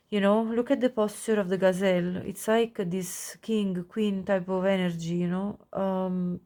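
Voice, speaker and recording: Woman w a cold reading tarot, nasal, soft and mellow, keeps gushing over the cards. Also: medium pitch. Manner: soft, gushing, warm-hearted with frequent little laughs. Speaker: tarot reader. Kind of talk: pick-a-card tarot reading to camera.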